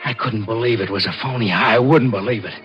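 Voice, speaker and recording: An actor playing a tormented, desperate husband in a halting, intense 1940s radio thriller style. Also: male voice, lowish voice, lo-fi sound, soft background music, lightly acted. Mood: grim, desperate. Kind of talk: 1940s radio thriller first-person narration and acting.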